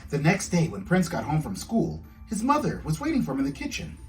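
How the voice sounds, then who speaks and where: Animated man reading aloud to children. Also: a little high, fairly quick, far from the mic, soft background music. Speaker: children's author reading own book. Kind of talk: storybook read-aloud for children.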